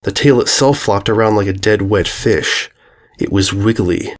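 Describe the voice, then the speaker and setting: Measured male story narrator. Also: on the low side. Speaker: audiobook narrator.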